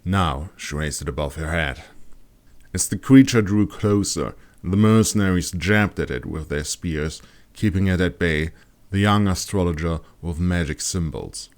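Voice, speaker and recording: A man reading scripted fantasy audiobook narration in a dramatic, deliberate storytelling manner. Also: sits low.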